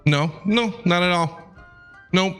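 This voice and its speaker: A woman game streamer giving casual, chatty live commentary with quick, repeated short reactions. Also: fairly low pitch, fairly bright tone. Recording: game music behind the voice.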